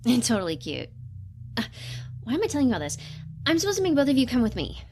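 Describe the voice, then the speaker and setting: A woman's voice: on the high side, wildly swooping pitch, quick pace, a little mellow, a little hiss or hum. Awkward, earnest young female intern. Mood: flustered. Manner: awkward, rambling, earnest, apologetic. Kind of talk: natural audio drama acting.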